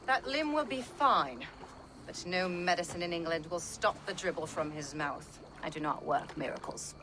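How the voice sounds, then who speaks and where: An actress playing a stern, dry-witted middle-aged pagan healer and leader in a video game. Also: female voice, lowish voice, expressive, moderate pace, noisy background, natural voice. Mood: wry. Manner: commanding, dry-witted, plain-spoken. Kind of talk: dramatic video game acting.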